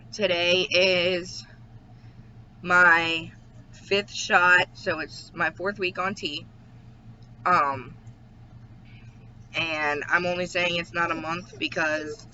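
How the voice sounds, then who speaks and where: Man, home video diary, halting speech full of ums, flat delivery, slightly irritated throat. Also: a little high. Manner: slow, hesitant, many ums. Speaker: vlogger. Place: at home with his dog. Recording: dull lo-fi with faint hiss.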